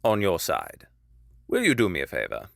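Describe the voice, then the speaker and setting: A man acting as a proper Victorian lawyer in a theatrical audio drama. His fairly low voice swings widely in pitch as he speaks with formal politeness and troubled, wary earnestness. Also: wildly swooping pitch, moderate pace, gravelly, no room sound, studio quality, heavily acted. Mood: polite, wary.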